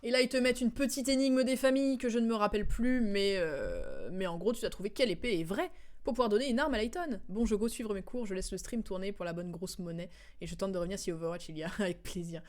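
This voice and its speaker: Young woman streamer chatting over a game, slangy, teasing, normal pitch for a woman. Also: animated, fast, a little mellow. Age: young adult. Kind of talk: casual game stream commentary.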